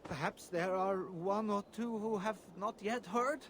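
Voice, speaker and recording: An actor playing a sly, bargaining defeated enemy in a fantasy video game cutscene. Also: male voice, high-pitched, a little slow, slight background noise. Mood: sly, wheedling. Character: sly, bargaining male enemy pleading for survival.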